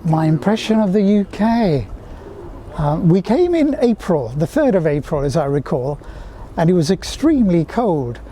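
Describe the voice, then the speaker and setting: A middle-aged man from Fiji, answering interview questions in a reflective, measured way. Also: high voice, sing-song, warm tone, slight background noise.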